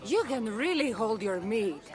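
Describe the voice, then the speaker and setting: A woman's voice: fairly high pitch, big pitch swings, a little slow, a little mellow, faint music behind. A woman playing a confident, playful Greek warrior adventurer in a video game, with a Greek accent. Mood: tipsy, playful. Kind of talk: video game cutscene dialogue.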